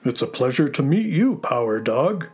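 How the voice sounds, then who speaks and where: A man voicing a friendly, gentle space horse in a children's audio story, courteous and expressive in his delivery. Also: mid-range voice, normal speed, husky. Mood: warm, eager.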